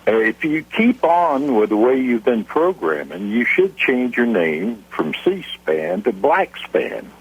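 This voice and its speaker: A man's voice: mid-range voice, very animated, takes it slowly, few pauses, husky. A man with an American accent airing a complaint as a caller on a call-in show, over a muffled broadcast phone call.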